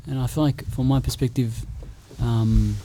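A man's voice: medium pitch, steady tone, normal speed, warm tone, slight background noise. A man on a podcast panel who speaks hesitantly and repeats words as he goes. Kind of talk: casual panel discussion.

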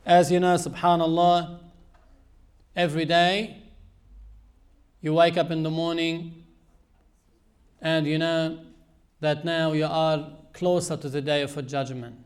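High-voiced man preaching slowly. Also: flat delivery. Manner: measured, earnest teaching with frequent pauses. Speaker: Islamic preacher. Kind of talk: sermon.

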